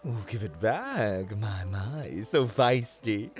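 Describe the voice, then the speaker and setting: Flirty, unhurried satyr trickster played by a man. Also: fairly low pitch, lively intonation, soft background music. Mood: teasing, smug. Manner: theatrical, teasing, flowery, coaxing. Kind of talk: fantasy roleplay ASMR acting.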